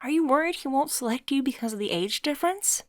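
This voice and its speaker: A woman's voice: high-pitched, expressive, quick pace, dry recording, clean studio sound, voice pushed up. A woman voicing a friendly, curious and forthright young woman with an upbeat, chatty delivery in a story. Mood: reassuring.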